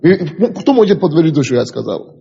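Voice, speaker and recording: Husky pastor in an echoing hall. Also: male voice, a little high, sing-song, fast, dark tone. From Africa. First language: an African language. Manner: emphatic, repeats key phrases and asks the class questions. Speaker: pastor teaching a ministry school. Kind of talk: exhorting lecture. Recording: dull lo-fi tape with long hall reverb.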